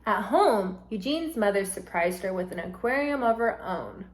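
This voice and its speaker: A woman teacher reading a storybook aloud to children in a deliberate, instructive, expressive way and explaining vocabulary as she goes. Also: medium pitch, slight background noise.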